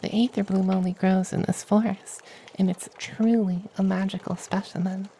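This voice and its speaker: A performer voicing a kindly, doting femboy witch in a hushed, affectionate ASMR roleplay. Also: mid-range voice, little pitch movement, moderate pace, a little hiss or hum, natural voice, poor audio. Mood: mystical, proud.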